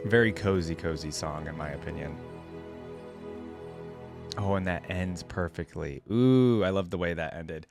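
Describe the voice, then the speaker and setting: A man's voice: deep voice, measured pace, creaky, long pauses, over music. A man giving casual, easygoing reaction commentary as a video host, rambling and thinking aloud.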